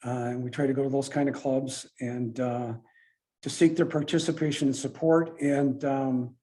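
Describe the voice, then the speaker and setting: A man giving an informal club presentation and answering questions as an organizer, with a halting, hesitant delivery. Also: medium pitch, flat delivery, mellow.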